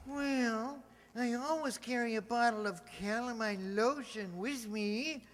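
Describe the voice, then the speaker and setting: An actor playing a mild, henpecked small-town druggist in an old-time radio comedy, with a hesitant, deadpan, folksy delivery. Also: male voice, extremely high pitch, slow, heavily acted. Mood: dry, mild. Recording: reverberant hall, stage re-enactment.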